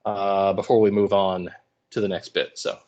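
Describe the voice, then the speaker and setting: A man with a lowish voice comments as a teacher would in a relaxed class. He explains informally and paraphrases in everyday slang with a wry touch. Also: moderate pace, dull audio. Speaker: high school English teacher. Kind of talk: casual lecture commentary.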